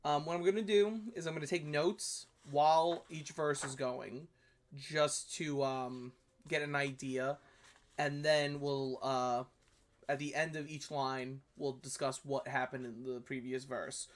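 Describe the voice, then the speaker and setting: A man's voice: medium pitch, fairly even intonation, a little slow. A man with a New York accent hosting a history review channel, talking casually and correcting himself as he goes. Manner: rambling, self-correcting, apologetic asides. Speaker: history video host. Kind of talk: casual commentary reviewing a video.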